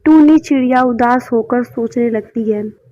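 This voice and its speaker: Slow high-pitched woman storyteller, muffled. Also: warm tone. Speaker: narrator. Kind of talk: scripted children's story narration. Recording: muffled, dull sound.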